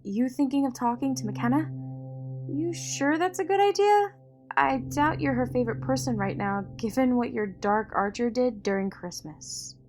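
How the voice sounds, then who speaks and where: A woman playing a loyal, steady partner to a vigilante in an audio drama, frank and measured. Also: high-pitched. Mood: concerned. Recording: dramatic music underneath. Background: music.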